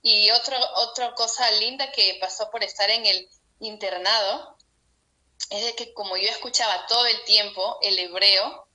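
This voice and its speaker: Bright-voiced Peruvian woman sharing her story. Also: mid-range voice, low-quality recording. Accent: Peruvian Spanish. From Peru. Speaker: interviewee. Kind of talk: personal account in an interview. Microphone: video call.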